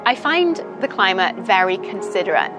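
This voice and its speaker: A woman's voice: medium pitch, sing-song, normal speed. A young woman from Britain, chatting thoughtfully as an interview guest with many ums and you-knows. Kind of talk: casual interview chat. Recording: music playing underneath.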